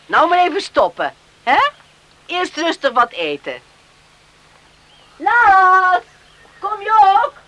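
A woman's voice: extremely high pitch, wildly swooping pitch, slow pace, long pauses, a bit bright, exaggerated voice. An actress playing a caring farm mother of two grown sons in a radio play with some background noise. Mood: motherly. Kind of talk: radio drama dialogue. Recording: noisy old radio play recording.

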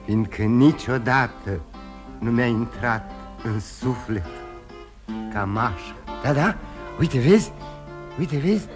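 An actor in a radio play voicing a ruined drinking man who confesses his failed life with self-loathing, halting and repeating himself. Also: medium pitch, expressive, lightly acted. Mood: wistful, agitated. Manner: rambling confession, halting with emotional repetitions. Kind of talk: theatrical radio drama acting. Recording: radio play with guitar music behind.

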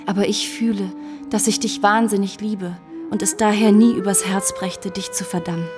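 A woman playing a seductive, scheming mistress in a radio drama, with a coaxing, theatrical delivery that often turns breathy. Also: medium pitch, fairly even intonation, moderate pace, over music, natural voice. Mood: passionate, beguiling. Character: seductive, scheming married mistress, flattering and calculating. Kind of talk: German radio play acting.

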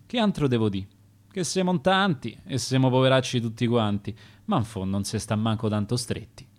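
A man's voice: mid-range voice, moderate pace, natural voice. A man voicing a cheerful poor dead man in a common grave, speaking in an easygoing, resigned way with a Roman accent.

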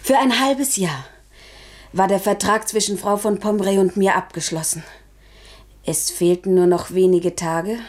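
An actress in a 1970s German radio play playing a sorrowful, dignified courtesan who speaks formally and solemnly, sometimes near a whisper. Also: female voice, medium pitch, a little mellow, lightly acted. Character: sorrowful, dignified courtesan confessing to her noble lover.

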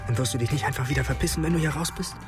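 An actor plays a teasing, sceptical young companion in a naturalistic radio play. He talks fast at a medium male pitch over clearly audible music. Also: natural voice. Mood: nervous. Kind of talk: naturalistic radio drama dialogue.

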